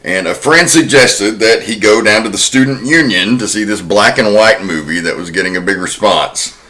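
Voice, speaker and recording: A man's voice: on the low side, moderate pace, pushed and bright. A man hosting a horror podcast, introducing and narrating film history in a conversational way. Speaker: podcast host.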